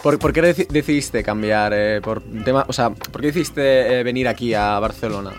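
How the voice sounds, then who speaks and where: A man co-hosting in a casual chat, haltingly rephrasing his questions as he goes. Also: mid-range voice. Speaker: co-host interviewer. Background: music playing behind the talk.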